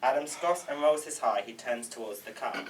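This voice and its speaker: A man's voice: medium pitch, animated, moderate pace. A man reading screenplay stage directions aloud at a table read. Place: indoor room, group table read. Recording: slightly noisy room, distant mic.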